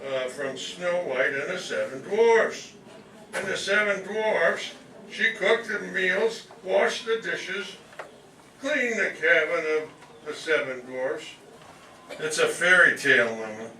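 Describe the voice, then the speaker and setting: Eager grown son male actor, explaining and placating, forceful bright tone, comic radio play, distant mic. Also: a little high, slow pace. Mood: patient, explaining. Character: assimilated, patient, eager grown son of immigrant mother. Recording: distant roomy mic, faint noise.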